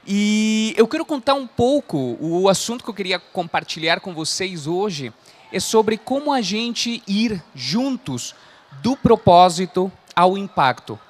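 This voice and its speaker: Give me an earnest Chilean man in his thirties with a high voice, coaching a live audience. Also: big pitch swings, a little slow. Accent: Chilean Spanish. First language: Spanish. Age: 30s. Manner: earnest, frequent "né?" tags. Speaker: coach and speaker. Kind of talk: motivational talk to a live audience.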